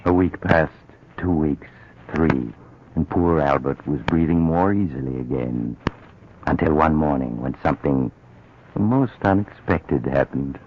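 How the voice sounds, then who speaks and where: An actor playing the sardonic host narrator of a 1940s radio mystery, telling the story with dry irony. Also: male voice, very deep voice, a little slow, background noise. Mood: sly. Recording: muffled old radio broadcast.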